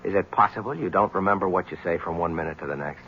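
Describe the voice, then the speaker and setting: An actor playing a persistent, cynical newspaper reporter in a 1970s radio drama, wry, teasing and probing. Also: male voice, sits low, fast talker. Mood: wry, insistent. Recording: dull, hissy old radio recording.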